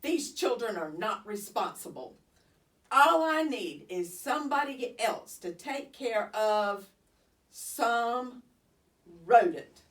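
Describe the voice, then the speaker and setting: Woman acting a grumbling, menacing schoolteacher, slow and emphatic. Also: fairly high pitch, animated, fairly bright tone, far from the mic. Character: grumpy, scary middle-aged female schoolteacher, scolding and resentful.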